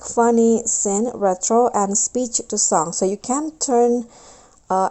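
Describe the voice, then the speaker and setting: A woman's voice: a little high, warm tone. A woman hosting a casual step-by-step app tutorial in a voice-over. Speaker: tutorial host.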